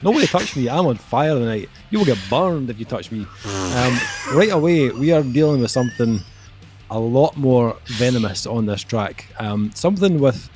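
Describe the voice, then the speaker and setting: A man's voice: medium pitch, lively intonation, a little mellow, soft background music. A young man reviewing an album on a podcast, talking casually with lots of ums and joking at himself. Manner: halting, lots of ums, jokey self-mockery.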